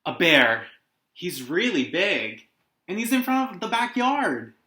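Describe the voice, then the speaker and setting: A man voicing an excitable young boy from a picture book, exclaiming urgently. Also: sits high, takes it slowly, voice pushed up, exaggerated voice. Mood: excited. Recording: roomy indoor echo.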